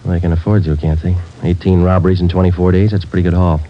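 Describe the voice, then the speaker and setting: A man's voice: low-pitched, little pitch movement, fast, close to an everyday voice. An actor playing a terse male police detective sergeant who narrates in a clipped, matter-of-fact way, in a 1950s radio drama. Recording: dull old radio transcription with faint hiss.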